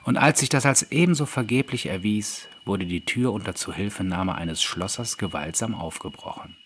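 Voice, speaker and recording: A man's voice: lowish voice, moderate pace, a bit bright, a little hiss or hum. A man narrating a scripted horror story as an audiobook reader. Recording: background music under the voice. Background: music.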